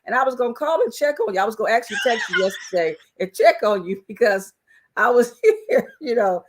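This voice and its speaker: Hoarse easygoing man on a talk show. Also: very high-pitched, lively intonation, brisk, background noise. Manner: easygoing, rambling with many fillers. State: hoarse, losing his voice. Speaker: co-host. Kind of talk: casual chat.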